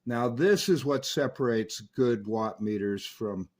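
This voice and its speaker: A man's voice: medium pitch, expressive, a little slow, darkish tone. A man giving an unscripted, hands-on technical demonstration of equipment, explaining each step methodically. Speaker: radio restorer demonstrating equipment.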